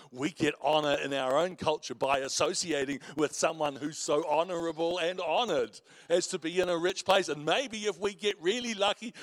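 A man's voice: high voice, no room sound, studio quality. A middle-aged man with a big voice, preaching a sermon as a pastor and telling stories with self-mocking humor. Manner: animated storytelling preacher, warm asides and self-mocking laughs.

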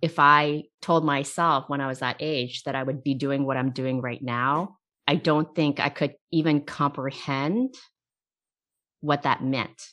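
A woman's voice: rumbling low, fairly even intonation, measured pace, fairly bright tone. A woman hosting a business interview series, upbeat and friendly, who laughs easily. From immigrant, came at age eight. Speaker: interview host.

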